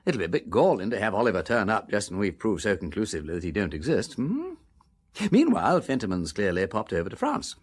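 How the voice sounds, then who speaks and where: An actor playing a breezy, flippant aristocratic English gentleman sleuth in a period radio drama, drawling with tag questions. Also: lowish voice, wildly swooping pitch, fast talker, slightly rough, full character voice. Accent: upper-class English. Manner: drawling, flippant, tags questions with what and hmm.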